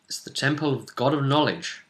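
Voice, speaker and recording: A man's voice: medium pitch, expressive, brisk, fairly bright tone. A British man speaking as game master, casually recapping a tabletop role-playing session and dropping teasing hints.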